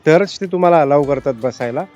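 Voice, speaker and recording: A man's voice: fairly high pitch, quick pace, darkish tone, muffled recording, faint music behind. Chatty man narrating a travel vlog. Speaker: travel vlogger.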